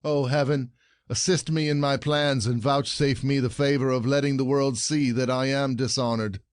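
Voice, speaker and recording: A man's voice: medium pitch, fairly even intonation, moderate pace, no echo, natural voice. Man playing an aggrieved husband, dry close sound. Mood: indignant despair. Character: aggrieved, suspicious husband, self-pitying and indignant. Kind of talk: audio play acting of classic French comedy.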